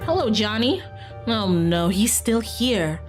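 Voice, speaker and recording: A woman streamer plays a visual novel over faint game music. She moves between reading the text aloud and chatting casually to her viewers. Her manner is playful and self-teasing, and her voice sits at an ordinary female pitch. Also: very animated, slow pace. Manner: playful, self-teasing, easily breaks off into asides.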